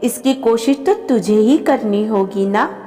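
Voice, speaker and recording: Motherly, reassuring elder sister-in-law played by a woman, lively. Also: fairly high pitch, a little slow, long reverb tail, soft background music. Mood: affectionate, reassuring. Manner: soothing, affectionate. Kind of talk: storybook narration acting.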